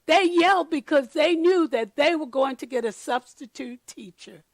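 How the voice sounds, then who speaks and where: A woman reading a picture book aloud to children, deliberate and expressive, pausing to comment to her listeners. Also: sits high, no room sound. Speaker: storyteller for children.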